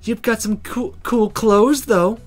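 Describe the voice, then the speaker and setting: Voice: mid-range voice, steady tone, takes it slowly, soft background music, pitched above the performer's own voice. A game player voicing a brash, bossy teenage schoolgirl in a visual novel, with breezy, cheeky teasing. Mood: breezy, evasive.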